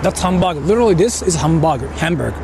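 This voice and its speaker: A man's voice: a little high, animated, quick pace, vocal fry. A man from Japan chatting casually on a walking livestream, explaining things in an informal, repetitive way. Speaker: live streamer. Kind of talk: casual livestream chat while walking and eating. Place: outdoors on a city street. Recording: noisy outdoor street with traffic ambience.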